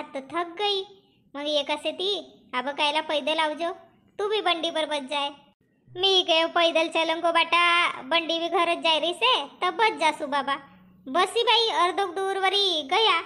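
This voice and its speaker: A woman's voice: extremely high pitch, bright, forceful tone, full character voice. A woman voicing a talkative, plaintive village farm labourer in a cartoon comedy, speaking with a rural Powari accent. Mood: chatty. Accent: Powari, eastern Maharashtra and Balaghat region.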